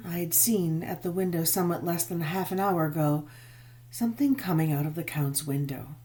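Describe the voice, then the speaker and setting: A woman reading a gothic novel as dramatic narration, measured and expressive, with drawn-out emphasis. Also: lowish voice. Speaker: audiobook narrator.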